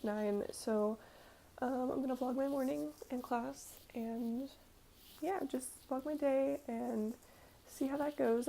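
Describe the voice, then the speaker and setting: A young woman in her early twenties talks casually to her vlog audience. Her voice sits fairly high and has a strong creak to it. She rambles informally, dropping in little side notes as she goes. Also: mellow, slight background noise, poor audio. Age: early 20s. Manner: informal, rambling, conversational asides. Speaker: vlogger.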